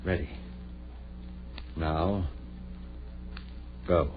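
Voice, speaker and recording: Deep-voiced, obsessed surgeon. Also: male voice, fairly even intonation, drawn-out words, music in the background, full character voice. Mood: urgent, commanding. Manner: clipped commands, insistent and self-assured. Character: obsessed male surgeon reviving a dead woman, coolly commanding. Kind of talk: 1940s radio horror acting. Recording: muffled, noisy 1940s radio recording.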